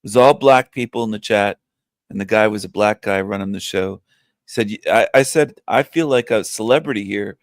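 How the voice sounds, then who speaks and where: Older man with a strong, low voice. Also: a little fast, no room sound, clean studio sound. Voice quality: strong voice that sounds younger. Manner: rambling, joking, laughs often. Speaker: livestream host. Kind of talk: casual livestream chat. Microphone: webcam setup, camera off.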